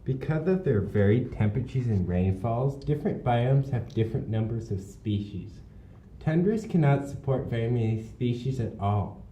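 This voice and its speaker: A man reading scripted educational narration in an echoey room. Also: warm tone. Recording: echoey room with faint rumbling noise.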